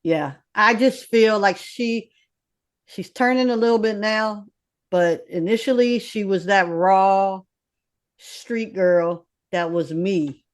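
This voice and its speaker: A woman's voice: medium pitch, slow. A woman in her fifties, a recording artist, talking bluntly and with lots of swearing as a guest in a casual interview. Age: 50s. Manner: blunt, swearing, streetwise and animated. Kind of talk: candid casual interview talk.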